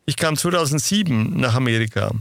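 A man answering interview questions in a steady, lecturing manner. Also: medium pitch, brisk, vocal fry, no echo. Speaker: interview guest.

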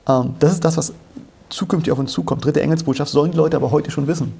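Gravelly, husky man explaining scripture. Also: a little high, fairly even intonation, fast talker, warm tone. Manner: explanatory, didactic, asks and answers own questions. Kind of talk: conversational Bible exposition.

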